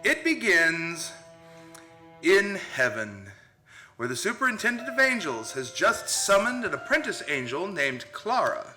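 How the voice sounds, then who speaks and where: High, very bright male radio narrator. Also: sing-song, unhurried, faint music behind. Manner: theatrical, storytelling. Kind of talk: dramatic scripted narration, vintage radio style.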